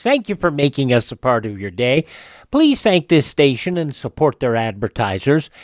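A man's voice: on the high side, dry recording, studio quality. An American man hosting a radio show, delivering announcer-style sign-off talk.

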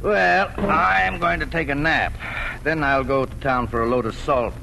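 An actor playing a gentle, wistful middle-aged farmer father in 1940s radio drama style, with a folksy, hesitant manner. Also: fairly high pitch, a little slow, vocal fry, noisy background. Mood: easygoing. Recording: old 1940s radio broadcast with music.

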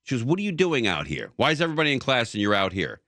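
A man's voice: mid-range voice, wildly swooping pitch, racing, sharp tone, dry recording, clean studio sound. A man hosting a casual talk show who tells rambling stories full of fillers and restarts. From Southern California. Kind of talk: casual conversation.

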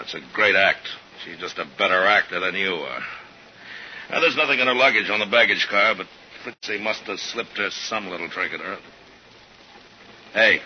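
An actor playing a cynical, bossy, streetwise operative in a 1950s radio drama, with a slangy, mocking way of talking. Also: male voice, low voice, close to an everyday voice. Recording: muffled, hissy 1950s radio recording.

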